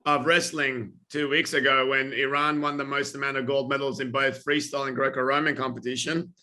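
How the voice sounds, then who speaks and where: A man's voice: medium pitch, little pitch movement, a little fast, bright, forceful tone. A man co-hosting a podcast, talking in a blunt, teasing way. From Australia. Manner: blunt, teasing, swears casually. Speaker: podcast co-host. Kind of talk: casual chat.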